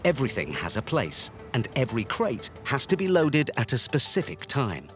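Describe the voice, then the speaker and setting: A man's voice: mid-range voice, huge pitch swings, moderate pace. A man delivering scripted narration for a documentary. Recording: music under the voice. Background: background music.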